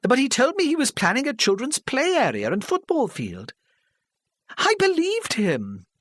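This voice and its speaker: An earnest older woman speaks up high and pleading, as a male narrator puts her on. Her talk is apologetic and nervous. The sound is dry and close. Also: wildly swooping pitch, normal speed, a bit bright, no echo, heavily acted. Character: older middle-class woman, anxious and earnest.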